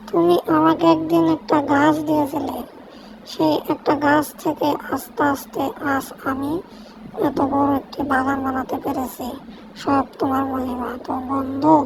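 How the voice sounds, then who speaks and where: A woman's voice: high-pitched, gravelly, noisy background, exaggerated voice. A woman voicing a small, caring, hardworking mother bird in a cartoon. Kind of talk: cartoon voice acting.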